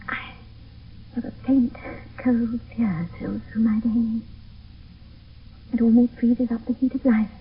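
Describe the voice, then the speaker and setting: A woman's voice: fairly high pitch, slightly rough. An actress playing a frightened young noblewoman in an intense, theatrical 1970s radio Shakespeare style. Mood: anxious. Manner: intense, theatrical verse delivery. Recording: thin phone-like old radio, distant mic.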